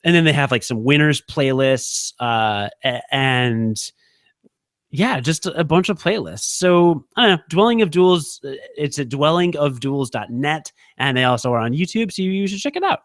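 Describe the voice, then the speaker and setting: A man's voice: on the high side, lively intonation, moderate pace, dry recording, studio quality. A man co-hosting a casual podcast, rambling with many restarts and fillers as he recommends things. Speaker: podcast co-host.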